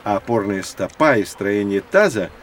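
A man playing a patient, learned guide who teaches children in scripted educational audio narration, didactic and measured. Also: fairly low pitch, animated, a little hiss or hum, lightly acted. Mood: explaining.

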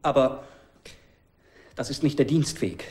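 An actor playing a hesitant, correct subordinate official in a German radio drama, halting and deferential in formal speech. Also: male voice, medium pitch, lively intonation, a little mellow, natural voice. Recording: roomy, echoing room sound.

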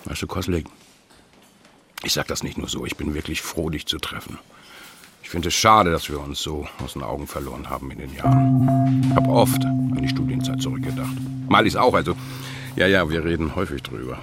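An actor playing a talkative, self-assured middle-aged business consultant, chatting chummily in a radio drama. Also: male voice, extremely low pitch, animated, a little fast, loud music behind the voice. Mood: nostalgic, confiding. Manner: chummy, rambling.

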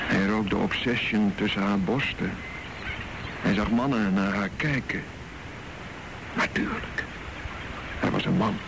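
Male radio drama narrator, very low voice, gravelly, deliberate pauses. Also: noisy background. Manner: slow, deliberate storytelling with pauses.

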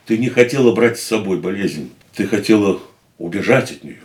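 Actor as a gentle, devoted man, roomy sound. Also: expressive, moderate pace, close to an everyday voice. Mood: gentle, soothing. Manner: gentle, soothing, low and tender. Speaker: radio play actor. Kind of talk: radio drama acting.

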